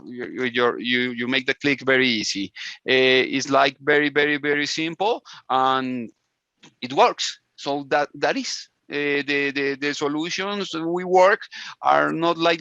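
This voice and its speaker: A man from Colombia talks in English with a Spanish accent, bright and slightly high in tone. He restarts his sentences often and laughs easily. The dry, muffled audio comes from a video call. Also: clean tone, dry recording. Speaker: guest inventor.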